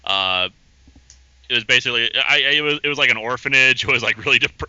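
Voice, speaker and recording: A man's voice: medium pitch, quick pace, pushed and bright, clean tone, no room sound. A man talking as a podcast guest in a rambling, casual way, chuckling between thoughts. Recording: dull lo-fi podcast audio.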